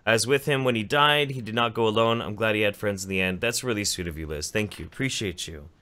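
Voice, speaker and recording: A man's voice: fairly low pitch, quick pace, sharp tone. A man hosting a podcast, reading donation messages aloud and joking sarcastically. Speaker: podcast host.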